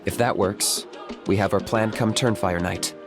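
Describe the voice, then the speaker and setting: A stoic young warrior played by a man in a video game, speaking in a lowish, level voice with no hint of a smile. He stays blunt and practical, with faint game music underneath. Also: lightly acted. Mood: deadpan. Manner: deadpan, calm and measured.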